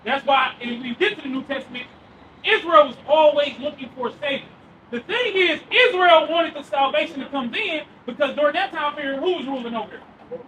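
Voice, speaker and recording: A man preaching loudly outdoors on a city street. He quizzes the crowd with questions and then answers them himself. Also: extremely high pitch, a little fast. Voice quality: loud and projecting, near yelling. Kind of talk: loud open-air street preaching. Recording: far from the mic with street noise.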